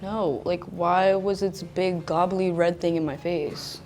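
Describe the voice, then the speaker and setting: A young woman chatting casually as a dating contestant, blunt and expressive in the way she talks. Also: slow pace. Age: young adult. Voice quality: deep voice for a woman. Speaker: blind date contestant. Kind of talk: casual chat.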